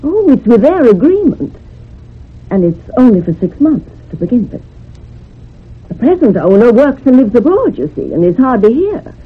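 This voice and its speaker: An actress playing a widowed, house-proud village housekeeper, chatty and matter-of-fact, in a radio drama. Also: female voice, fairly high pitch, big pitch swings, normal speed, husky, full character voice. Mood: explaining. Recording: muffled lo-fi old radio recording.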